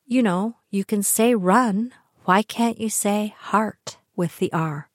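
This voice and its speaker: A woman's voice: mid-range voice, slow pace, no echo, clean studio sound. An older woman with a Canadian accent, hosting a podcast and telling personal family stories in a chatty, wry way. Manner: chatty, wry, animated asides. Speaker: podcast host. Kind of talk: personal storytelling monologue.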